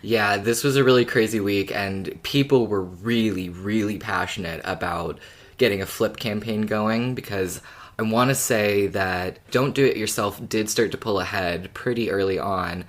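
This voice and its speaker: A man co-hosting a podcast, explaining his views casually in a measured way. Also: fairly low pitch. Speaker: podcast co-host.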